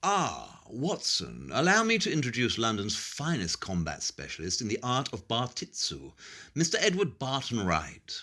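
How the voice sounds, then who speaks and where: Animated, confident Victorian detective. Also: male voice, medium pitch, moderate pace, exaggerated voice. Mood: genial. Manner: precise, self-assured, deductive. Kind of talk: audio drama acting.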